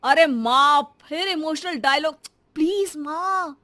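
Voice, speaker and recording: Exasperated, dismissive grown son for a moral cartoon, read by a woman; fairly high voice, scolding and curt. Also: slow pace, sharp tone, no room sound, heavily acted. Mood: brisk, impatient. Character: impatient, dismissive adult son living in America. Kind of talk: acted Hindi moral cartoon story.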